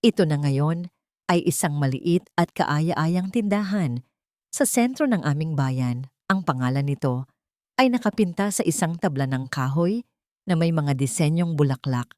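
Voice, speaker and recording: A woman with a Filipino accent narrates a scripted first-person story in a measured, dramatic and sentimental manner. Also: low voice, lively intonation, darkish tone, dry recording, clean studio sound.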